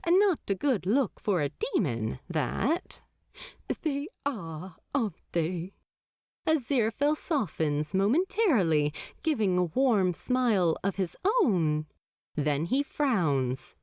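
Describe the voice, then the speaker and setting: A woman reading scripted fiction as a narrator, in an expressive storytelling style. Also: mid-range voice, takes it slowly, no echo, studio quality. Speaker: audiobook narrator.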